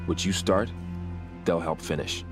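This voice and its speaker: Deep-voiced, earnest young warrior. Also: male voice, fairly even intonation, measured pace, over music. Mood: dutiful. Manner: earnest, solemn. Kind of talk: dramatic video game acting.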